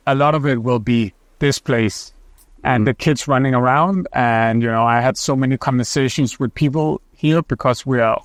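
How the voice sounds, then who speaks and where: A man's voice: medium pitch, dry recording. A man co-hosting a podcast, speaking in a thoughtful, reflective way. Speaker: podcast co-host. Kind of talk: casual chat.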